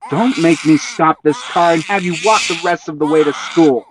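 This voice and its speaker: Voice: medium pitch, animated, unhurried, noisy background, voice pushed up, lightly acted. A storybook reader voicing a strict mother who talks firmly and scolds her son, in a slightly raised voice. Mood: scolding. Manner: firm and stern. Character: firm, strict mother of two.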